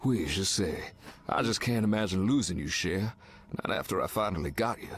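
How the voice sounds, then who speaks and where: A man's voice: low-pitched, expressive, moderate pace, fairly bright tone, slightly rough, full character voice. A man voicing a charming, protective, romantic superhero in a video game, with a Cajun accent and a suave, tender manner. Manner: suave, tender, peppered with French endearments. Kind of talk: video game character voice acting.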